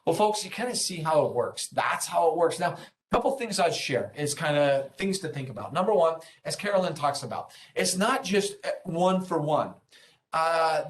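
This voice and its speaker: A man presenting an interactive business webinar, explaining things informally and encouragingly and checking in with his listeners as he goes. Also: a little high. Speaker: webinar presenter, senior consultant and trainer. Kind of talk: interactive business lesson, explaining earnings call.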